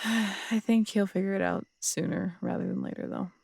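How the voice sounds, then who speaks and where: Actress for female city cop in audio drama: tough, wry, low-key storyteller, raspy voice, very dry intimate sound. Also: fairly even intonation, a little mellow, dry recording. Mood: wistful, relaxed. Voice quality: husky, gravelly voice. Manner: wry, unhurried storytelling with casual swearing. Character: tough, strong-headed woman city detective, wry and fond. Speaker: voice actor in an audio roleplay.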